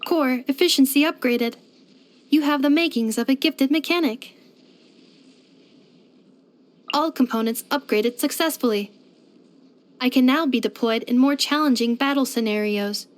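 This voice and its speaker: A woman's voice: sits high, moderate pace, natural voice. A woman voicing a polite, devoted household robot companion in a video game, speaking in formal, precise, computer-like phrasing. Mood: helpful, courteous. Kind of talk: scripted video game character voice lines.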